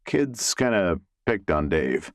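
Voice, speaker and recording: Man as amiable low-voiced middle-aged guy, sheepish. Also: sing-song, slow, dry recording, clean studio sound, exaggerated voice. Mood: amused, reminiscing. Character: Bernie, amiable, awkward male realtor, middle-aged.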